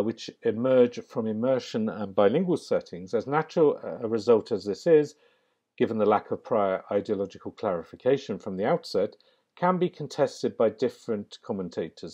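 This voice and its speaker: A man delivers a slide lecture in a low, mellow voice. He speaks in a measured, academic way and often pauses with an um. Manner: measured, academic, with frequent ums. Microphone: voice-over on slides.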